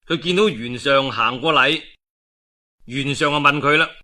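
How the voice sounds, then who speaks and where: A man's voice: mid-range voice, unhurried, sharp tone. A male storyteller with a Cantonese accent, narrating a historical novel in a colloquial, dramatic oral style. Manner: colloquial, dramatic delivery with interjections.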